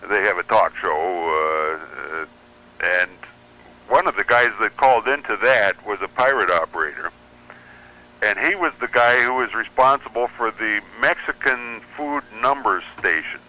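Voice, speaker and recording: A man's voice: fairly low pitch, lively intonation, measured pace, sharp tone, low-quality recording. A man chatting and explaining as a guest in a casual radio interview, with a wry manner.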